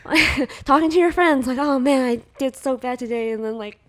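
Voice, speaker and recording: Woman, thirties, quiet soft voice, laughs often, rambling casual podcast chat. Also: high-pitched, moderate pace. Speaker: podcast co-host, artist.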